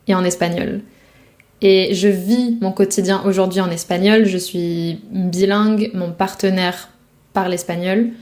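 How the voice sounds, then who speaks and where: A woman's voice: medium pitch, mellow. A woman from France, a language coach, talking to the viewer in a reflective, casual way about life abroad. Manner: reflective, speaks directly to the viewer, casual fillers. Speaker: French speaking coach. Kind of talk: advice talk to camera.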